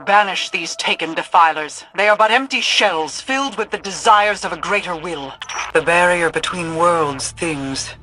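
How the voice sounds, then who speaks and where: An actor playing a lofty, scholarly mystic warlock in a video game, speaking formally and portentously. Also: male voice, high voice, normal speed, sharp tone, rough recording. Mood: commanding. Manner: formal, portentous, precise wording. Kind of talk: scripted video game voice acting.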